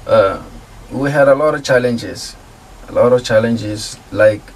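A man from Africa, a producer and artist, talking casually in a radio interview with a relaxed, slangy manner. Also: medium pitch, measured pace, far from the mic, rough recording. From South Africa. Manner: relaxed, laid-back slang, laughs easily. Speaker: guest music producer and artist.

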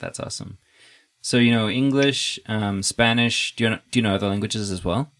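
A young man interviewing a guest in a casual, relaxed way, often restarting his sentences and chuckling. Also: lowish voice, fairly quick.

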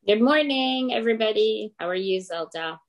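A woman's voice: on the high side, lively intonation, moderate pace. A middle-aged Lebanese woman who grew up in Greece, talking casually as an interview guest. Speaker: guest, children's book author.

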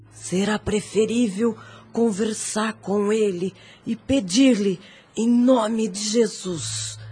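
Venerable older abbess, Brazilian accent, grave, slow, raspy breath, prudent counsel, radio drama. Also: female voice, medium pitch, a little mellow. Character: venerable, prudent, long-suffering Mother Superior.

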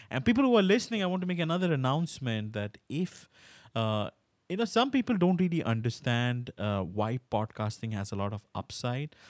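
A man's voice: lowish voice, no echo. Male podcast host, close dry sound. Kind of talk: conversational podcast interview, addressing listeners.

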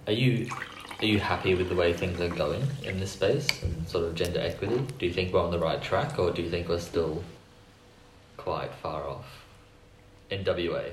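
A modest man co-hosts a casual interview, speaking softly as he puts his questions. His voice is very low. The recording is echoey, with clear background hiss. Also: normal speed. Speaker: podcast co-host. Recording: echoey room with clear noise.

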